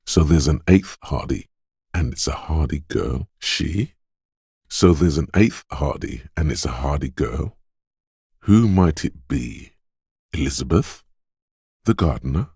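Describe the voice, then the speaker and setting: Detective's inner instinct, video game narration, rumbling low male voice, measured, knowing, quick-witted, dramatic, dry studio sound. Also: expressive, vocal fry, a little mellow, breathy edge, no room sound, heavily acted. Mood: alert, suspicious. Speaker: voice actor. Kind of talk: second-person game narration.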